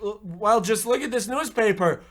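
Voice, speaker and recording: A man playing a plucky, self-assured Depression-era boy adventurer in a comedy radio play, halting and mock-heroic. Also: high voice, natural voice. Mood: mock-innocent.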